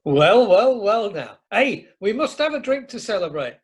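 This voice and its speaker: Actor as husky, blustering older Yorkshireman. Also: high-pitched, sing-song, moderate pace, exaggerated voice. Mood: jovial, expansive. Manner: hearty, repeats himself. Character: blustering, boastful older Yorkshire husband, jovial and self-satisfied. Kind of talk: amateur radio comedy acting.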